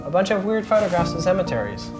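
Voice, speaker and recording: A woman's voice: lowish voice, sing-song, fast talker. A woman talking casually and informally as a host and photographer discussing pictures. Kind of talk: casual chat. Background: faint music.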